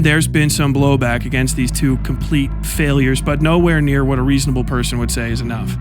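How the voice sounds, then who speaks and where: A man reading a scripted commentary video essay in a measured, pointed, accusatory way. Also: medium pitch. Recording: narration over clear background music.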